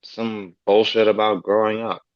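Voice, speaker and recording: A man's voice: fairly low pitch, level tone, moderate pace, no echo, lightly acted. A man reading the part of a brash, foul-mouthed grown man with crude, swaggering banter in an amateur table read. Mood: choked up. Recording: slightly dull lo-fi.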